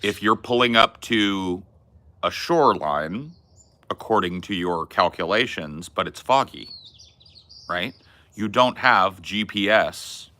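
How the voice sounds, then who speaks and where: A man co-hosting a podcast, telling stories in a rambling, laid-back way with a loud, resonant voice. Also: sits low, expressive, takes it slowly. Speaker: podcast co-host. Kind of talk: casual chat.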